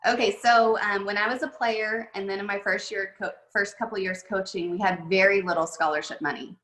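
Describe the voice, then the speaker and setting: A woman's voice: medium pitch, steady tone, a little fast, a bit bright. Chatty woman golf coach on a video call. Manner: chatty, anecdotal, many fillers. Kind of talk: casual storytelling on a video call. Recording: echoey room on a video call.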